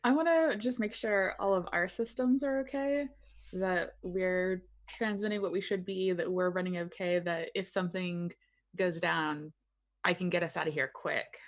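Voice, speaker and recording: Lower-voiced woman playing a tabletop game. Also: normal speed. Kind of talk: casual in-character game talk.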